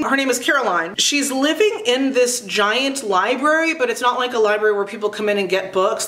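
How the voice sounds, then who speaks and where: A woman's voice: a little high, a bit bright, echoey room. A middle-aged woman giving casual book recommendations in a chatty, enthusiastic, emphatic way, as a video host.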